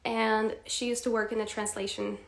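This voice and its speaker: A young woman coaches English live, chatty and quick to laugh as she speaks to her viewers. Her voice sits a little high. The room gives her an echoey ring. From Poland. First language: Polish. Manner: lively, laughs often, addresses viewers directly. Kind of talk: live-stream teaching chat.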